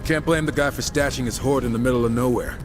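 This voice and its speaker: A man's voice: mid-range voice, steady tone, quick pace, over music, close to an everyday voice. A man acting a wisecracking, cocky horseman with a sarcastic, teasing manner in a video game cutscene. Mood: wry banter. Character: wisecracking, cocky horseman, brash younger-brother gunslinger type.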